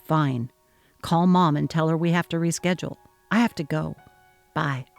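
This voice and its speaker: A woman's voice: normal speed, gravelly, soft background music. A woman reading the part of a young husband, dropping her voice and speaking bluntly. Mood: curt. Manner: blunt, lowered voice. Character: curt young husband and father.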